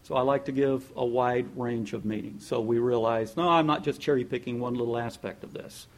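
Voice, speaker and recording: A man preaching a sermon in a lively, conversational way, often laughing and acting out what people might say. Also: mid-range voice, a little fast. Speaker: pastor.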